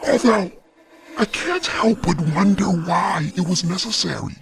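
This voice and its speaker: A maniacal, servile shadow creature from an audio drama. The voice sits high and drags its words out obsequiously, with faint noise behind it. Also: male voice, a little slow, creaky. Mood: sly, eager. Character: grinning shadow creature, fawning, eager, loyal henchman. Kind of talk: audio fiction character acting.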